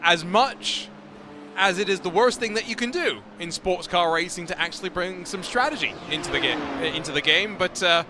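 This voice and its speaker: A man commentating a sim race, chatting and joking with his co-commentator. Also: sits high. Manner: chatty, joking, quick-witted. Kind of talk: live race commentary chat. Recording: noisy commentary mic.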